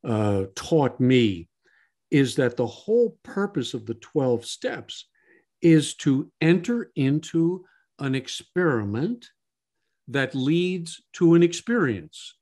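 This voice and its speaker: Man with a lively, swinging delivery. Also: mid-range voice, slow pace. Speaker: podcast co-host. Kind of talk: podcast discussion of spirituality.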